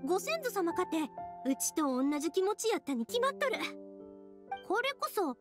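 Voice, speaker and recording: A cheerful young woman with a high voice and a Kansai Japanese accent. She is chatty, expressive and earnest, an anime game heroine with music playing behind her. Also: normal speed. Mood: earnest, reflective.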